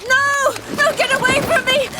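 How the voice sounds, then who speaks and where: Very high-pitched, frightened young woman. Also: heavy background noise, heavily acted. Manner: gasping, breathless, distraught. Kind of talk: audio drama acting. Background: loud dramatic music.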